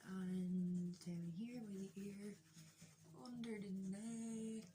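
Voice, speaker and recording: A woman's voice: mid-range voice, fairly even intonation, slow, very noisy. An Irish woman chatting casually through a makeup tutorial, candid, joking and self-deprecating, with a bit of swearing. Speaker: beauty vlogger doing a makeup tutorial.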